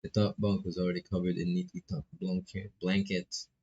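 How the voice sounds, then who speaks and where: A man reading a visual novel's narration aloud as a streamer, with a gravelly, husky voice, often stumbling and correcting himself. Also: deep voice, flat delivery, normal speed, a little mellow, lo-fi sound. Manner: stumbles over words, repeats and corrects himself. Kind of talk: live read-aloud of narration and dialogue.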